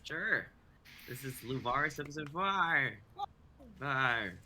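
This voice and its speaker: Very bright-voiced young man, casual. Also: mid-range voice, expressive, slow, slight background noise. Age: young adult. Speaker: writer and stream regular. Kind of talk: casual chat.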